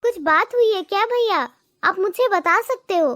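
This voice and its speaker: A woman's voice: very high-pitched, moderate pace, fairly bright tone, dry recording, studio quality. A woman voicing a young housewife in a cartoon, speaking curtly and asking questions. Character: young housewife, curt then remorseful. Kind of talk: cartoon voice acting.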